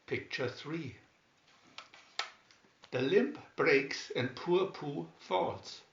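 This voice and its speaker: A man reading a children's story aloud in a deliberate, expressive way, with emphatic swoops on key words. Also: fairly high pitch, vocal fry, slight background noise. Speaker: storybook reader. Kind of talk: scripted children's story narration, read aloud slowly and expressively. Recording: dull, roomy home recording.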